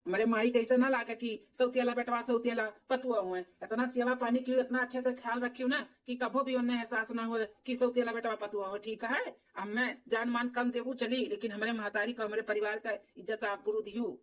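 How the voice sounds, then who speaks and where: A woman's voice: on the high side, level tone, fairly quick, far from the mic, lightly acted. A woman voicing an earnest, dutiful stepson in an amateur cartoon, explaining and pleading with an Awadhi accent. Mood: earnest instructing. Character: earnest, dutiful adult stepson and new husband.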